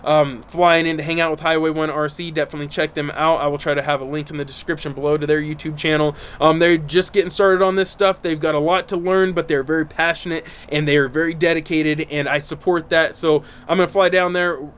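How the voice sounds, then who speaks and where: A man's voice: a little high, little pitch movement, a little fast, few pauses, fairly bright tone, clean tone. Stuffy-sounding man talking to camera outdoors. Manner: earnest, repeats "right?" and "okay?" often. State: stuffy, congested from burnt lungs. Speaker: YouTube channel host. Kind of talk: casual talk to camera. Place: outdoors in bright sun.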